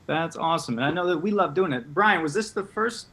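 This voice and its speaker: A man's voice: a little high, lively intonation, brisk, pushed and bright, breathy edge. A man hosting a casual interview over a video call. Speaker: host from a theatre group, interviewer.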